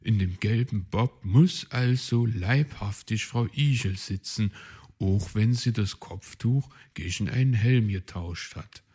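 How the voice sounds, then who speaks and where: A man's voice: fairly low pitch, takes it slowly, mellow, husky. A man as a polite, fussy forest host. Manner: polite, stammering when flustered.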